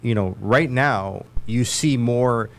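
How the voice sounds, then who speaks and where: A man's voice: medium pitch, slow. A man in his forties from Houston with a Texan accent, a realtor co-hosting a podcast, talking emphatically with lots of restarts and slang. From Houston, Texas. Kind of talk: casual podcast chat.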